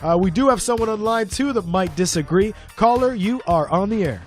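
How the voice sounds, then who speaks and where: A man playing an upbeat, showy phone-in radio host in an audio drama, with smooth broadcast patter. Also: high-pitched, expressive, brisk, faint music behind.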